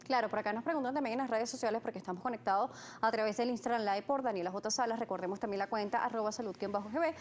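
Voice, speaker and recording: A woman hosting a health interview, introducing her guest and asking clear, orderly questions. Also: on the high side, racing, hardly any pauses, a little hiss or hum. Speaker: host.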